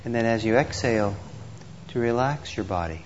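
A man teaching meditation, gently and unhurriedly guiding a group with pauses between instructions. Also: mid-range voice, expressive. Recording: dull lo-fi audio with clear background noise.

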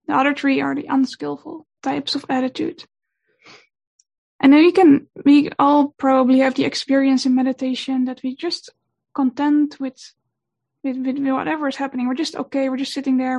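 A woman whose first language is Dutch gives a gentle, unhurried talk on meditation, pausing often with fillers. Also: high voice, vocal fry. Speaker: meditation teacher.

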